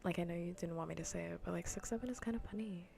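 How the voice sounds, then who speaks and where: Soft, low, creaky near-whispering woman streamer. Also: rapid-fire, faint music behind. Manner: playful, rambling, half-whispered casual talk. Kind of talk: soft-spoken ASMR gaming livestream chat. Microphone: close-up ASMR streaming microphone.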